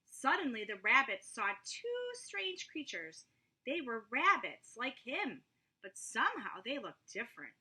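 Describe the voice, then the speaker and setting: Bright, animated woman reading a storybook. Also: sits high, measured pace, breathy edge, rough recording. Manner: dramatic, with whispered asides. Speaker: children's story reader. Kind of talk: expressive storybook read-aloud.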